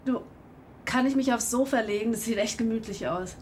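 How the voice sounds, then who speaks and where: A woman's voice: a little high, fairly quick, a little hiss or hum, natural voice. Casual, confiding young homeless woman played by an actress. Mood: dreamy, confiding. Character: homeless young woman drifter, candid, trusting and needy. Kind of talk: naturalistic German radio drama dialogue.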